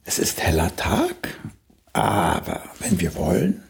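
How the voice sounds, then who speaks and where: An aging playwright in a radio drama, once celebrated and now doubting himself. His voice is gravelly and creaky, rising and falling widely. He takes his time, slyly flirting and musing, and sometimes drops to a murmur. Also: low voice, wildly swooping pitch, slow, slight background noise, heavily acted. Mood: teasing, seductive. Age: older. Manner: sly, musing, theatrical, with murmured asides. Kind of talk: radio play acting.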